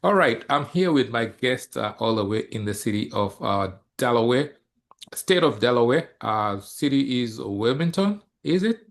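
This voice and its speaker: A man hosting a casual interview show, speaking informally with frequent hesitant pauses. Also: mid-range voice, animated. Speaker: podcast host.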